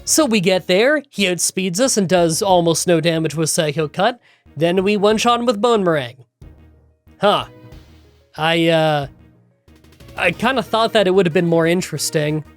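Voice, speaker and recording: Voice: mid-range voice, lively intonation, moderate pace. Nasal gaming YouTuber with a cold, stuffy and chatty, faint music under the voiceover. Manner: chatty, rambling storytelling. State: congested from a cold. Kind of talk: game challenge voiceover recap. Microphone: close voiceover mic.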